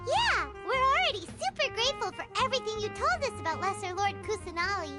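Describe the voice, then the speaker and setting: Video game companion: tiny excitable fairy girl, squeaky, very high, animated delivery. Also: fast talker, over loud music. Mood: eager. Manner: speaks of herself in third person.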